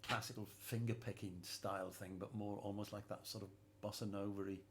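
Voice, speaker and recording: A man's voice: on the low side, level tone, fairly quick, slight background noise. A man hosting a casual music chat, hesitant and repeating words, laughing often. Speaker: host.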